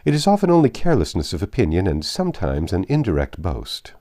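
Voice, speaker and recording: A man voicing a proud, reserved young gentleman in an audiobook of a classic novel, speaking in a formal, measured, cutting way. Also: deep voice, wildly swooping pitch, heavily acted. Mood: stern, lecturing.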